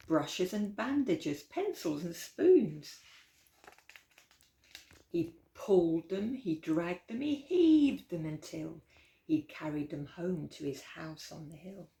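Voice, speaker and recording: A woman reading a rhyming children's picture book aloud as a storytime host, with expressive, dramatic emphasis. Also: on the low side, gravelly. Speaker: library storytime reader. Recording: roomy, echoey indoor sound.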